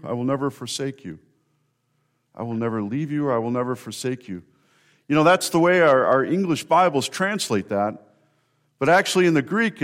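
A male pastor delivering a sermon to his congregation. His voice sits at an ordinary middle pitch, and he speaks earnestly, building up emphatic repetitions to drive a point home. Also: fairly bright tone.

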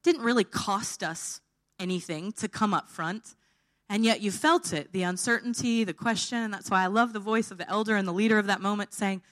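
A woman's voice: medium pitch, brisk. Woman, informal sermon, tired held-back voice, chatty, checks in with listeners. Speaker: preacher.

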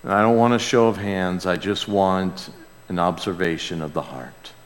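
A man preaching and praying to a congregation, earnest in manner, swelling from hushed to emphatic. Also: sits low, steady tone, moderate pace. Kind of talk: sermon and prayer to a congregation. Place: large church room or hall. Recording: reverberant hall sound.

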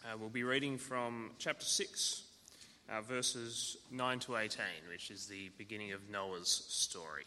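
A man reading a Bible passage aloud in church, steady and measured. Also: on the low side, a little hiss or hum. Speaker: scripture reader. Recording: church hall reverb.

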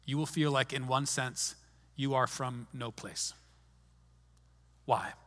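A man's voice: mid-range voice, fairly even intonation, takes it slowly, fairly bright tone. A man preaching a sermon in a conversational way, often restarting his sentences, recorded in a large hall. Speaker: pastor. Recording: long hall reverb.

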